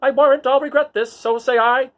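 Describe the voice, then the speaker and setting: A man's voice: extremely high pitch, fairly even intonation, fairly quick, pitched above the performer's own voice. A man voicing a fussy, indignant protocol droid, haughtily exclaiming Shakespearean insults in a dramatic reading. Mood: fretful.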